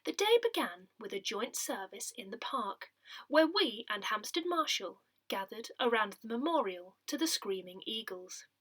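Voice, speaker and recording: A woman with a fairly high, bright voice reads a newsletter aloud. Her delivery is scripted and measured, with clear phrasing and lively rises and falls in pitch. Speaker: reader of an audio newsletter.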